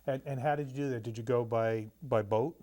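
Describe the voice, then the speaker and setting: A man's voice: medium pitch, steady tone, fairly quick, dark tone. A man interviewing a veteran for an oral history, asking friendly questions with short, encouraging replies.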